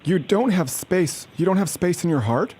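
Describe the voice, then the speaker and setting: A man's voice: high-pitched, lively intonation, moderate pace, a little mellow, a little hiss or hum. A man making a prank phone call as an aid worker, pressing on persistently with mock earnestness. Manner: persistent, falsely earnest salesman-like pushing. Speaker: prank caller posing as refugee aid worker.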